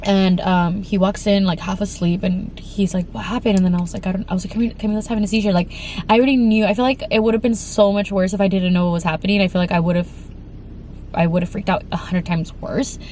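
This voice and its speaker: A woman's voice: medium pitch, steady tone, quick pace, darkish tone, a little hiss or hum. Congested young woman telling a frightening story. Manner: rambling with many fillers and restarts. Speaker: vlogger. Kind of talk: emotional storytime vlog.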